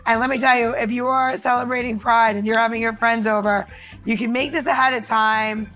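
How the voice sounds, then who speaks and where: A woman with a fairly high voice co-hosts a casual podcast. She talks in an informal, upbeat way, as if walking friends through something easy, sprinkling in conversational filler. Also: fairly even intonation, a little fast, a bit bright, soft background music. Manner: informal, upbeat, peppered with like and you know. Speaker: podcast co-host presenting cocktail recipes. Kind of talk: casual chatty recipe walkthrough.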